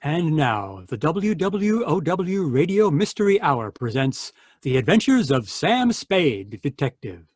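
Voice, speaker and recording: Announcer, male: 1940s radio showman, grand emphatic scripted delivery, highish pitch, lively swings. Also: measured pace, few pauses, husky, no echo.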